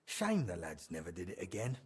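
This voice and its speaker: An actor voicing a chatty medieval townsman in a video game, telling colloquial, animated tales of old times with fondness. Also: deep voice, fairly quick, slightly rough, exaggerated voice. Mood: amused storytelling. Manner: animated, colloquial storyteller acting out quotes. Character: chatty medieval townsman reminiscing fondly about an old drinking friend. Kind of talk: storytelling in video game dialogue.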